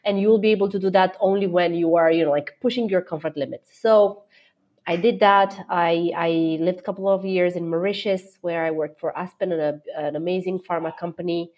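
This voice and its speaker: A woman's voice: fairly low pitch, fairly even intonation, brisk, muffled recording. A middle-aged woman from Romania, a guest executive answering interview questions with enthusiasm, many fillers and restarts.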